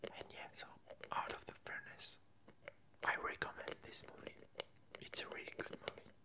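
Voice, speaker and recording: A woman whispering a casual ASMR chat in a breathy, halting way with long pauses. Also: bright, forceful tone. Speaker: ASMR video creator.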